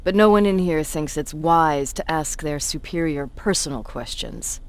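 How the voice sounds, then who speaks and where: A cynical military commander in a video game voiced by an actor. The voice sits at a middle pitch and moves deliberately. It is world-weary, condescending and quietly intimidating, like a self-confessed bully addressing a subordinate. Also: slight background noise, lightly acted. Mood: sardonic, probing. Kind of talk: video game cutscene acting, dramatic monologue.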